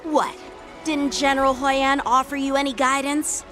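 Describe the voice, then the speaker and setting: A woman's voice: high voice, level tone, measured pace, a bit bright, soft background music. A woman voicing a polite, composed young swordplay teacher in an anime-style game, formal and earnest. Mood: polite, defensive.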